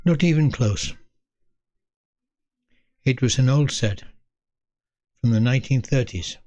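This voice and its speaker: An elderly Englishman in his eighties reminisces at a very unhurried pace. He speaks in short, measured phrases with pauses between them, in a mid-pitched voice. The sound is dry and close, free of room echo. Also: a little mellow. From North East England. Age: 80s. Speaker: storyteller, host of own video series. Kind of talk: reflective autobiographical storytelling to camera.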